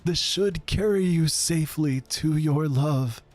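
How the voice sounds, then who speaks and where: A grave old wizard speaks in a soft, unhurried hush, as a man puts him on, his dark, mellow voice carrying a husky edge. He sounds solemn and deliberate, like a wise figure in a spoken fantasy tale. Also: fairly high pitch, soft background music, pitched above the performer's own voice. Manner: slow, hushed and grave.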